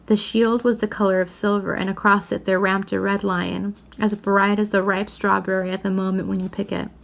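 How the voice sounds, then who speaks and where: Woman, mid-pitched, story reader, expressive children's read-aloud. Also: a little fast, vocal fry.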